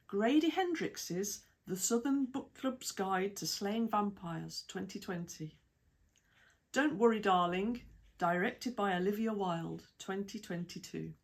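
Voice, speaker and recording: A woman with a British accent reading an article aloud in a measured, even way, with lists of titles and dates. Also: medium pitch. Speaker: reader of an article. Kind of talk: read-aloud essay narration.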